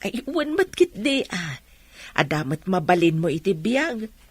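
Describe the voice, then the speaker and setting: An actress with an Ilocano accent playing a concerned, comforting younger neighbour in a radio drama. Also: female voice, lowish voice, big pitch swings, a little slow, slightly rough, exaggerated voice.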